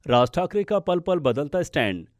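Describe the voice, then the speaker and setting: A man's voice: fairly high pitch, big pitch swings, moderate pace, no echo, studio quality. A man narrating a scripted political explainer as a news podcast presenter.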